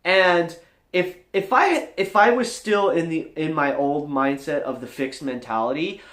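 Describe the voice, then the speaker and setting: A man's voice: a little high, measured pace, a bit bright, roomy sound. A man talking candidly to viewers as a vlog host, earnest, confessional and emphatic, in a motivational style.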